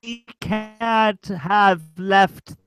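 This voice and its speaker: A man's voice: high-pitched, steady tone, very slow, fairly bright tone, faint music behind, heavily acted. A man playing a muddled, dutiful, apologetic servant in a comic radio-play parody, hesitant and repetitive, over a noisy connection. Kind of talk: improvised comic parody of old-time radio drama.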